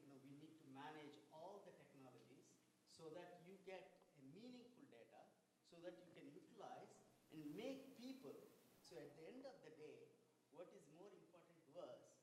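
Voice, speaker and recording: Man, fairly high voice, panelist, lists points, strong echo, noisy background. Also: darkish tone. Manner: lists points, leans on "you know" and "right?". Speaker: academic panelist. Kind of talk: panel discussion answers. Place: large event room. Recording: very echoey room with noise.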